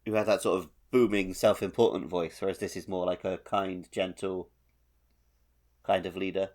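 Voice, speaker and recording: A man's voice: low voice, fairly even intonation, normal speed, a little mellow. A man co-hosting a gaming podcast, talking casually in an explanatory, analytical way. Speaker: podcast co-host.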